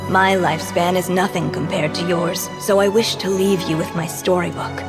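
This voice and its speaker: A woman voicing a gentle, wistful and earnest storyteller witch in a video game. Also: on the low side, steady tone, normal speed, lightly acted. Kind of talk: video game character acting. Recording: clear music playing behind.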